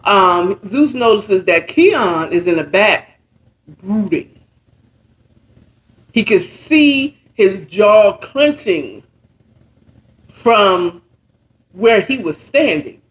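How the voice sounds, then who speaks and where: A woman informally retelling a story in a halting, conversational way, often checking in with her listeners. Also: mid-range voice, expressive, low-quality recording. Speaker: storyteller retelling a book chapter.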